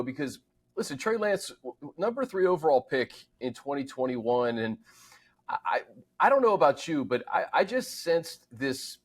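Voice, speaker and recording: A man with a medium-pitched voice hosts a casual football podcast. He talks conversationally and often doubles up his words when starting a thought. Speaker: sports podcast host. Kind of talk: casual sports talk.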